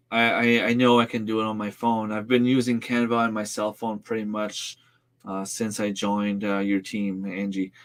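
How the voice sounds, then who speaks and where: French Canadian man, 30s, native French speaker; slow, relaxed livestream host, hesitant and friendly with chat. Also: fairly low pitch. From Northern New Brunswick, Canada. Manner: easygoing, hesitant, many fillers and restarts. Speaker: farm channel host. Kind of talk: casual livestream chat, answering viewer comments.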